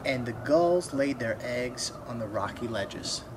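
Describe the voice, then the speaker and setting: A young adult man reads a picture book aloud for children in an expressive way, at a medium pitch. He speaks directly to his young listeners between passages. The recording is made outdoors on a camera, with clear background noise. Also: measured pace. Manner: expressive, asks the listener questions. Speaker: storybook reader. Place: outdoors by a wooden fence. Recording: clearly noisy outdoor ambience.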